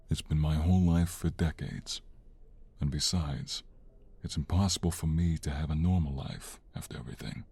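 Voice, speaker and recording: A man voice-acting a stern, protective middle-aged mob boss father in an audio roleplay, speaking in a measured, formal way. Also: sits low, dark tone, breathy edge, dry recording. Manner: measured, deliberate, formal phrasing.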